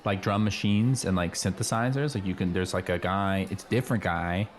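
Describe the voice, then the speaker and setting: A man playing an earnest, know-it-all high school boy in an improvised comedy radio play, rambling casually with lots of slang. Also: fairly even intonation, brisk, slight background noise, close to an everyday voice. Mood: earnest, informative. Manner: rambling, hesitant, peppered with "like" and slang.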